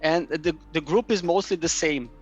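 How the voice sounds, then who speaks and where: Brazilian-accented man, halting and careful. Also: on the high side, slightly rough, soft background music. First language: Portuguese. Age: 30s. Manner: hesitant, repeats words as he searches. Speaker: guest, map publisher. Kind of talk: casual chat.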